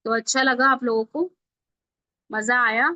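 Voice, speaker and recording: A woman's voice: a little high, a little slow, bright, forceful tone, no echo. A woman instructor giving an informal live online tutorial, explaining step by step and often checking that learners follow. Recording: dull lo-fi online call audio.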